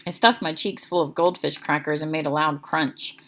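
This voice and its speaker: A woman's voice: low voice, steady tone, normal speed, fairly bright tone. A woman reading a children's chapter book aloud as narrator, expressively.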